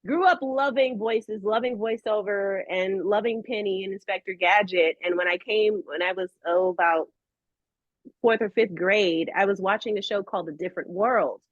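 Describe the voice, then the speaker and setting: A woman chatting casually as an interview guest, telling stories in an animated, playful way and acting out quotes. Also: mid-range voice, moderate pace, no room sound, lo-fi sound.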